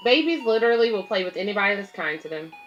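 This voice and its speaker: A woman's voice: mid-range voice, lively intonation, quick pace, dull audio, faint music behind. A woman reading a picture book aloud as a babysitter, explaining it simply to children and parents with casual asides. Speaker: babysitter and children's story reader.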